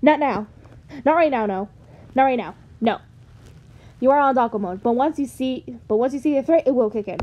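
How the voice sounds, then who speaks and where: A woman's voice: high-pitched, expressive, moderate pace, pauses often, highs cut off. A woman voicing an eager male inventor, insistent and grandiose, in an amateur comic dub. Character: eager, commanding male inventor, robot's creator.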